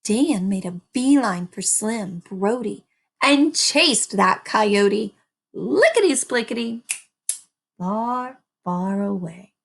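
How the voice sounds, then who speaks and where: A woman reading a children's story aloud with animated, dramatic and deliberate phrasing. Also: mid-range voice, gravelly, husky. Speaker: storybook reader. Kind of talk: animated scripted children's narration.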